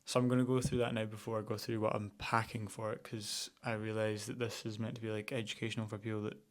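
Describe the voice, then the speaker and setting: A young man with a Scottish accent, chatting casually in a vlog as an outdoor instructor, relaxed and full of ums and restarts. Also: lowish voice, fast. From Scotland.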